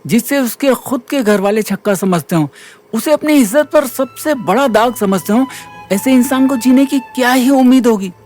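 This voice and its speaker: A man's voice: very high-pitched, moderate pace, a little mellow, soft background music. An actor playing a timid, troubled young male college student in an audio drama, earnest and pleading. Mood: despairing. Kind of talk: Hindi audio drama acting.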